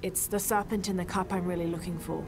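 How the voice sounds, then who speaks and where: Woman acting game heroine archaeologist lady, calm n polite, music pretty loud under her. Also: fairly low pitch, fast, natural voice. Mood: composed, curious. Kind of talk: video game dialogue.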